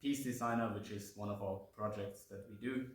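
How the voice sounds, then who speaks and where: Low, flat-voiced young man presenting. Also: brisk, noisy background. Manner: hesitant, many fillers. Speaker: youth peace group presenter. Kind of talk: informal presentation. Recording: echoey room from far off.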